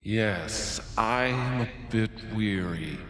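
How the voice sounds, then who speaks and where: A slow, entranced alien zealot. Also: male voice, lowish voice, lively intonation. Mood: dazed, drowsy. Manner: drowsy, slow, dazed. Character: entranced, weary alien zealot in drowsy monotone. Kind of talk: comic video game voice acting. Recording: long echoing reverb, music behind.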